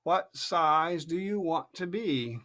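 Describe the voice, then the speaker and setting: A parent reading aloud, voicing a contemptuous, curt caterpillar in a slow, drawn-out way. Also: mid-range voice, animated, bright, forceful tone. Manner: slow, drawn-out, clipped replies.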